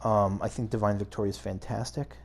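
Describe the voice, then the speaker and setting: Guy doing casual game commentary, gravelly n kinda husky, thinks out loud, hesitant. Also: lowish voice, steady tone, brisk. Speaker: gaming video host.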